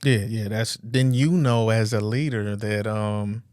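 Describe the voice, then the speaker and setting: A man's voice: on the low side, steady tone, darkish tone, dry recording, studio quality. A man of about forty co-hosting a podcast, joking around in slangy casual chat. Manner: joking, slangy, quick to laugh. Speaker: podcast co-host.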